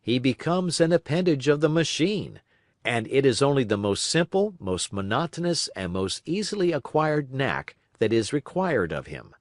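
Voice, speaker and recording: A man reading a political text aloud as scripted audiobook narration, in measured, emphatic, oratorical phrasing. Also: medium pitch, very animated, no room sound, clean studio sound. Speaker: audiobook reader.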